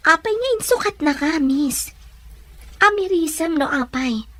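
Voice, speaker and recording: A woman's voice: high-pitched, expressive, unhurried, a bit bright. A woman playing a humble, wronged young mother, meek but firm, in an audio drama. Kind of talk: dramatized Ilocano audio story.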